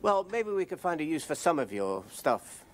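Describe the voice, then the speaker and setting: A man's voice: on the high side, big pitch swings, normal speed. An older man with an English accent playing a wary, dry, grudgingly polite father in a naturalistic television drama, speaking with hesitant understatement. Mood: politely cautious.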